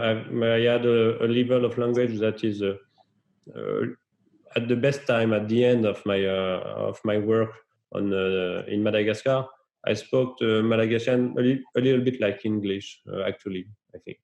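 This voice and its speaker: A man with a French accent, a guest researcher answering in a webinar interview, halting with many fillers and restarts. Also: lowish voice, creaky, darkish tone, roomy sound, highs cut off.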